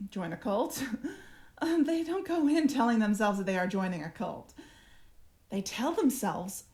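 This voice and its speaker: Audio drama: actress as cold, self-satisfied female alien infiltrator, formal and deliberate, higher register. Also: a little mellow. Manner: measured, clinical, formal. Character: cool, smug alien parasite speaking through its human host.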